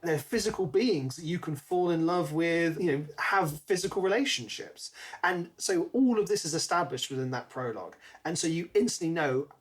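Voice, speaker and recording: A man's voice: fairly high pitch, expressive, moderate pace. A man co-hosting a podcast, chatting casually about a book and acting out bits as he retells. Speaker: podcast co-host.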